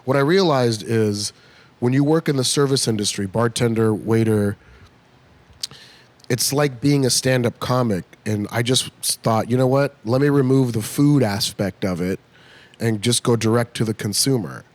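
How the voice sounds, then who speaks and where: A man in his fifties from California, a guest comedian chatting in a bar at a comedy club, telling animated stories and acting out quoted voices. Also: mid-range voice, normal speed. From United States (California). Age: 50s. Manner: animated storyteller who acts out quoted voices. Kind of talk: casual interview chat.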